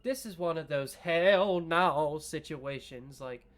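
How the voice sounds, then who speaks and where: A man's voice: fairly high pitch, slow, soft background music. A man hosting a gaming video, reading a visual novel aloud, musing over choices, talking to viewers and swearing casually. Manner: reads story text, then muses aloud and swears casually; talks to viewers while deciding.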